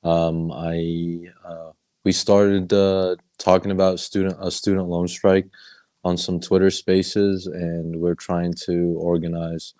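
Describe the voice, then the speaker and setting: A man introducing himself as an organizer on a video-call panel, halting and full of fillers. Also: low voice, little pitch movement, warm tone, dry recording. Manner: hesitant, many fillers and restarts. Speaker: student debt organizer.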